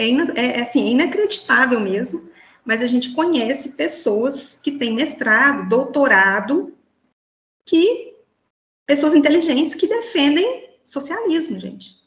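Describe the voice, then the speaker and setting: A woman's voice: high-pitched, a bit bright, reverberant. A woman in her thirties with a Minas Gerais Brazilian accent, giving indignant opinion commentary as a panelist over a video call from a small room. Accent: Brazilian Portuguese, Minas Gerais. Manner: indignant, rhetorical questions, many fillers like "então, assim". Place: small indoor room with a plain wall. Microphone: laptop webcam mic on a video call.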